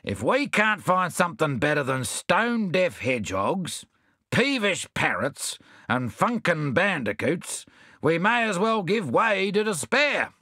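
A man's voice: sits high, big pitch swings, a little slow, sharp tone, dry recording, clean studio sound, voice pushed up, exaggerated voice. Man acting a blustering, hot-tempered storybook adventurer. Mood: despondent grumbling. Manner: roaring, indignant, colourfully abusive.

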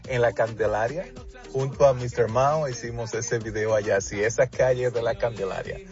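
A man's voice: medium pitch, steady tone, normal speed. Dominican male singer, radio guest, chatty with restarts, dull lo-fi line, faint music under. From Dominican Republic. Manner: warm, frequent restarts and "así es". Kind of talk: casual phone interview.

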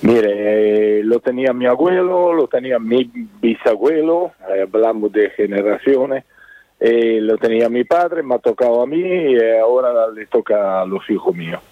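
A man with an Italian accent speaks over a narrow, tinny phone line. His talk is halting and emphatic, and he repeats words as he goes. The sound is dry and close. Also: mid-range voice, lively intonation, no echo. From born in Italy. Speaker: circus manager. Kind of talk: radio interview over the phone.

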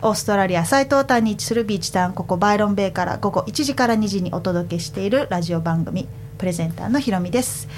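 A woman's voice: mid-range voice, racing, hardly any pauses, a little mellow, slight background noise. A woman from Japan who partly grew up in England, hosting a community radio show in a studio with a chatty, friendly presenting style. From Japan, childhood partly in England. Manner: chatty, laughs often. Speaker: radio host. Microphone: studio microphone.

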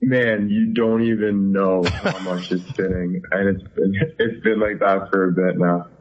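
Low, flat-voiced young man on a phone line. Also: measured pace, slight background noise. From Canada. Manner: loose and rambling, many fillers like "like". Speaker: guest. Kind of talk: casual interview chat.

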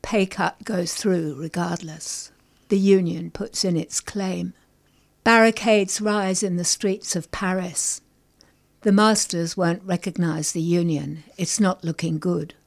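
A middle-aged woman from England, a poet guest on a radio show, reading her poems with deliberate care and talking about her work. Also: on the low side, steady tone. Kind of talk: poetry reading and interview.

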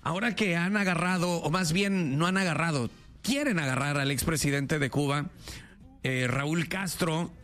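A male radio host with a fairly high-pitched voice. He runs a lively call-in debate, pushing provocative questions in quick succession.

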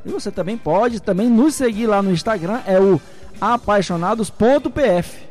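A radio sports host with a fairly high voice talks to his listeners in a loose, jokey way, repeating points over and over. Faint music plays behind him in the studio. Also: moderate pace, a little mellow. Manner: chatty, jokey, repeats himself. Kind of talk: radio chat promoting the show's channel.

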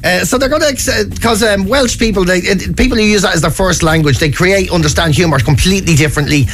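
A man's voice: sits high, quick pace, fairly bright tone. A man co-hosting a morning radio show, jokey and playful, stumbling over his words. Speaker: radio co-host. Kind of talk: casual morning radio banter. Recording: radio broadcast with faint music.

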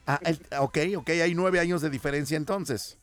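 A man's voice: on the high side, steady tone, moderate pace, soft background music. A Mexican man hosting a radio call-in show, teasing and affectionate with his guests. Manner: playful, teasing and affectionate with callers. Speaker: radio host. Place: radio studio.

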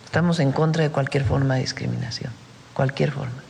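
A man giving a halting, deliberate, measured answer at a press briefing. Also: mid-range voice, fairly even intonation, gravelly, a little mellow. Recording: echoey hall, background ambient noise.

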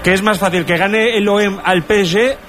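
A man's voice: sits high, normal speed. A man with a Spain Spanish accent joking and teasing in casual sports radio banter. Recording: very loud music under the voice. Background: very loud music.

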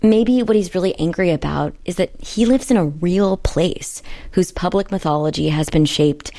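A woman narrates as a reporter, her voice set clearly low for a woman. She reads a prepared script in the manner of an audio news feature. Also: normal speed, mellow.

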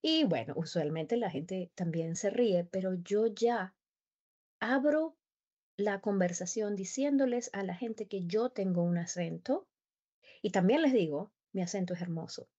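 Animated Spanish-speaking woman, mellow, muffled. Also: mid-range voice, measured pace. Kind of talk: conversational interview.

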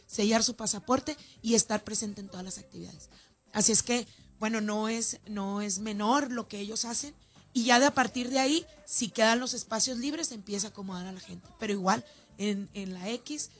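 A woman from Ciudad Juárez with a northern Mexican accent, a festival organizer talking animatedly in a radio interview. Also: medium pitch. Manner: animated, chatty, with many restarts. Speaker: festival organizer and councilwoman, guest.

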